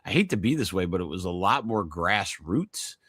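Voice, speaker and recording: A man's voice: low-pitched, big pitch swings, normal speed, fairly bright tone, husky, no echo, clean studio sound. A man hosting a podcast as a chiropractor, chatting casually with a guest, laughing easily and using lots of fillers. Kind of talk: casual interview chat.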